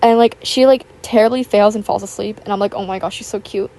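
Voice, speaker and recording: A young woman, raspy from just waking up, rambling chattily over a voiceover and recorded at home on a built-in tablet mic. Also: mid-range voice, fairly even intonation, fast, dark tone. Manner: rambling, filler-heavy, chatty. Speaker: YouTuber. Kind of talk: casual chat voiceover. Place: home, with household noise. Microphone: built-in tablet microphone.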